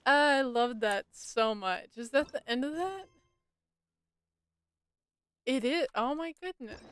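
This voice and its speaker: A woman's voice: high-pitched, takes it slowly, a bit bright, smooth, dry recording. A woman chatting casually and enthusiastically as she streams a game. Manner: gushing, enthusiastic. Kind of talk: casual livestream gaming chat. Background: game music.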